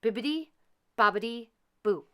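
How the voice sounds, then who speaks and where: A woman's voice: a little high, lively intonation, normal speed, bright, forceful tone, clear, resonant tone, voice pushed up. A woman voicing a kindly, bustling little fairy godmother from a storybook, exclaiming and coaxing sweetly. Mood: musing, bustling.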